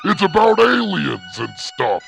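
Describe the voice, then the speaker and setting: A man putting on a drawn-out announcer voice to introduce a podcast segment. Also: sits high, wildly swooping pitch, creaky, fairly bright tone, faint music behind, pitched above the performer's own voice, exaggerated voice. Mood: theatrical.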